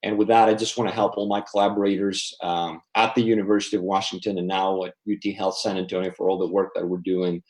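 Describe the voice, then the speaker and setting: Lowish-voiced man presenting slides. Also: flat delivery, fast talker, few pauses, darkish tone, poor audio. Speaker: dental researcher giving a lecture. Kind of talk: slide presentation at online symposium.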